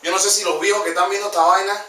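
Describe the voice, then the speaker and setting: A man's voice: high-pitched, fairly even intonation, very fast, bright, forceful tone. A young man in his twenties with a Panamanian Spanish accent, hosting a joking, slangy comedy vlog from a small room. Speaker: vlog host. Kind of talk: casual comedic vlog narration. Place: small room with computer desk. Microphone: camera mic from a distance. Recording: echoey room, distant mic, faint noise.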